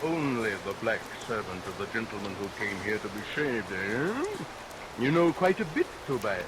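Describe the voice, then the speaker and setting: An actor playing a greedy, murderous London barber, oily and menacing, in old-time melodramatic radio style. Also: male voice, fairly low pitch, moderate pace, lightly acted. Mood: sly, menacing. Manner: theatrical, swings from fawning to furious snapping. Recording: noisy vintage radio recording, rain over it. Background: steady rain.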